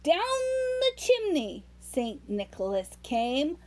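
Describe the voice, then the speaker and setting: A woman reading a storybook aloud to young children, expressive and sing-song, adding playful asides straight to the listener. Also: on the high side, takes it slowly.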